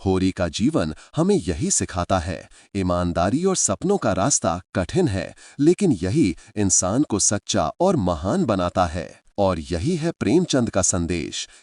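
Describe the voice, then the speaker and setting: A man's voice: sits low, very animated, a little slow, hardly any pauses, darkish tone, dry recording, studio quality. A man telling a story with feeling, speaking to his listeners as friends. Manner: emotive, addresses listeners as friends. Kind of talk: dramatic storytelling narration.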